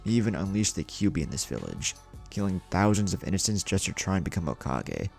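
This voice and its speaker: A man narrates a scripted story in a deep voice with plenty of gravel. His pitch moves around in an animated way as he reads. Music plays behind him. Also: brisk.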